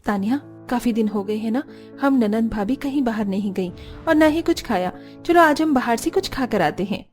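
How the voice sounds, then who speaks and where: Woman doing a bossy teasing cartoon sister-in-law, high voice, soft music behind. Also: animated, normal speed, lightly acted. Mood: caring. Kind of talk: Hindi cartoon voice acting.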